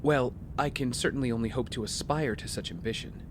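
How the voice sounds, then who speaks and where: An actor playing a wealthy young heir, polite, smooth-talking and guarded, in audio drama dialogue. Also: male voice, mid-range voice, brisk, noisy background, lightly acted. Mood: earnest, persuasive.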